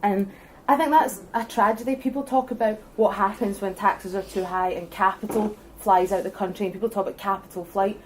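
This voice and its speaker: A young Scottish woman giving an earnest, forceful speech at a public debate, building her case point by point. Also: mid-range voice, fairly even intonation, brisk, hardly any pauses, a little hiss or hum, rough recording. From Scotland. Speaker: debate speaker for independence.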